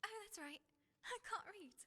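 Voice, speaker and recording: Woman acting a high, bright, scatterbrained young witch. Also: moderate pace, long reverb tail. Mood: puzzled, chirpy. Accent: British. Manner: cheeky, theatrical, colourful old-fashioned slang. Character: scatterbrained young half-elf witch, chirpy potion peddler. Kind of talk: voiced game follower dialogue.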